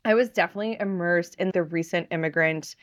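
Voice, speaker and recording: A woman's voice: lowish voice, fast, dry recording. A woman in her thirties from northern New Jersey, a podcast guest who talks in a chatty, self-deprecating way and laughs often. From northern New Jersey, USA. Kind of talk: casual podcast interview.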